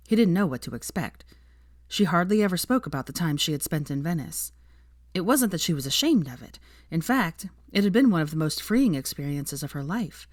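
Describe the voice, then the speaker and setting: A woman's voice: deep voice, no room sound, clean studio sound. A woman reading fiction aloud as an audiobook narrator, in scripted storytelling style.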